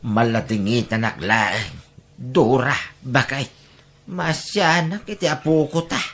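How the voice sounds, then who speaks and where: A man's voice: mid-range voice, slow pace, a bit bright. A man playing a caring but reproachful grandfather and husband in a melodramatic audio drama, speaking plaintively. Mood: sorrowful reproach.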